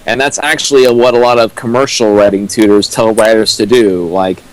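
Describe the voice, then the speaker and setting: A man's voice: lowish voice, fairly even intonation, brisk, rough recording. A young man holding forth on writing theory in a casual stream chat, earnest and full of fillers. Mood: lecturing. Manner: earnest lecturing with many fillers. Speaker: co-host riffing a fanfic. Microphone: home mic on a stream.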